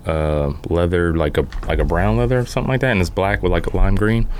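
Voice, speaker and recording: A man with a low voice, talking as a guest on a casual podcast. He speaks haltingly, thinking aloud as he goes, with faint noise behind him. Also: darkish tone. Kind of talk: casual chat. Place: podcast studio.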